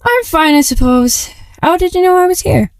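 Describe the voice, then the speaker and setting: A woman's voice: extremely high pitch, expressive, normal speed, no room sound, studio quality. A woman playing a young alien superheroine in an audio drama, sweet, youthful and a little awkward. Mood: amused, casual.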